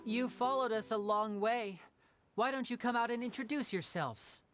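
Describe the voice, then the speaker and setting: A woman voicing a calm, shrewd, polite young hero in a video game, with a measured, composed manner. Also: fairly high pitch, soft background music, lightly acted. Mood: cool, composed.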